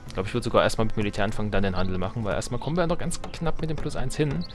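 A man's voice: on the low side, animated, very fast, over music. A man giving casual, jokey gameplay commentary, slangy and often talking to himself and to his game units. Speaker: let's player.

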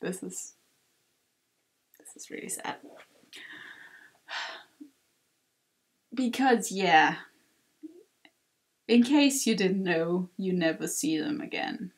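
Animated woman playing a game. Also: lowish voice, very slow, pauses often. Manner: exclaiming, reactive. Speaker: let's play host. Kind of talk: casual game commentary.

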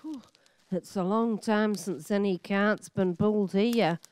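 A woman's voice: medium pitch, measured pace, darkish tone, clean tone, lightly acted. A woman playing a fretful, chiding older wife in a radio play, anxious and exclamatory. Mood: mildly hopeful.

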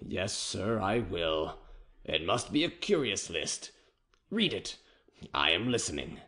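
A proud captive king, voiced by a man reading aloud, speaks low and soft with slow, disdainful dignity. His lines rise and fall widely for effect. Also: very animated, heavily acted. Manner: scornful, measured and disdainful. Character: proud captive male king, scornful and defiant. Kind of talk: audiobook character reading.

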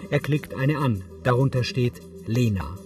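A man's voice: mid-range voice, measured pace, music in the background. A man reading scripted audio description in a matter-of-fact, articulate way. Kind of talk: scripted narration.

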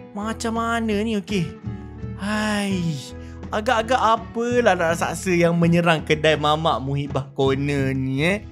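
A Malaysian man hosting a gaming video with excited, animated roleplay commentary, exclaiming and drawing out words. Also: a little high. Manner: animated, exclaiming, drawn-out shouts.

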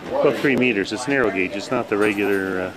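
A man giving casual, halting travel commentary from behind a camcorder as a tourist. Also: lowish voice, rough recording. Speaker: tourist filming a travel video. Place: on and around a tourist steam train. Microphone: camcorder microphone, speaker behind the camera. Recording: amateur camcorder amid loud crowd and train noise. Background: busy crowd, train.

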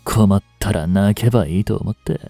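An actor playing a rough young street punk working as a butler in an audio drama, blunt and teasing, with a grudgingly tender side. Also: male voice, on the low side, moderate pace, warm tone, no echo, soft background music, natural voice. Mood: grudgingly soothing. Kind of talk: intimate Japanese audio drama acting addressed to the listener.

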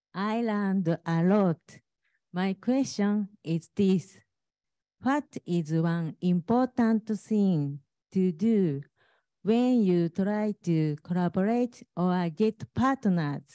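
A woman's voice: mid-range voice, animated, dark tone, dry recording. A woman introduces herself formally and asks a question from the audience of a conference. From Japan. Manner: formal, careful, measured. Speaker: audience member asking a question. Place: large conference hall.

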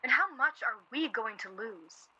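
A woman's voice: on the high side, expressive, a little slow, pushed and bright, breathy edge, highs cut off, full character voice. A woman acting a blunt, anxious pessimist in a wartime radio drama, arguing in a searching way. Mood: agitated, fatalistic. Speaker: actor in a radio play. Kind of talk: naturalistic radio drama dialogue.

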